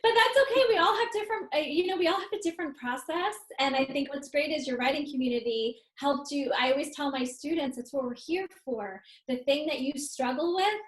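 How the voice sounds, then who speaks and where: A woman moderating a writers' panel over a video call, speaking softly and encouragingly as a writing teacher. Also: high-pitched, a little fast, hardly any pauses, echoey room. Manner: encouraging, soft-spoken. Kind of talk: casual panel chat.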